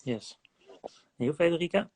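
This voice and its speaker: A man's voice: fairly high pitch, animated, moderate pace, darkish tone, dry recording. A man hosting a casual interview, asking friendly short questions. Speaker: host.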